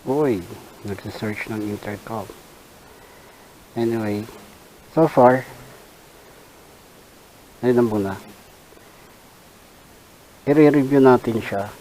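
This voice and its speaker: A Filipino man talks through a product in a soft-edged, relaxed tone. His delivery is unhurried and halting, as if he is thinking aloud while he reviews. A faint hiss sits behind his voice. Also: mid-range voice, poor audio. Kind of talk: casual unboxing commentary. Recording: hissy background noise.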